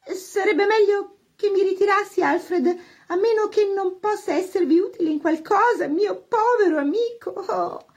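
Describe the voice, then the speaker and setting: Very shrill, sugary society spinster. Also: female voice. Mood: falsely mournful. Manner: gushing, drawn-out, theatrical. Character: affected, sugary, falsely sympathetic society spinster.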